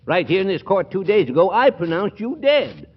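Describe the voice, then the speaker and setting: A blustering courtroom judge in a 1940s radio comedy. His fairly high voice swoops between exasperated sarcasm and syrupy flattery. The recording is a dull old broadcast with some noise. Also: normal speed, slightly rough, full character voice. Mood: exasperated, indignant. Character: blustering, exasperated male judge, sweet on pretty women.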